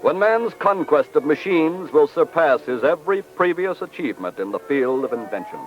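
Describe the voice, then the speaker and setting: Grave higher-pitched vintage radio narrator, male. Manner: grave, dramatic, formal. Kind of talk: 1940s radio scripted narration. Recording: muffled 1940s radio recording with music.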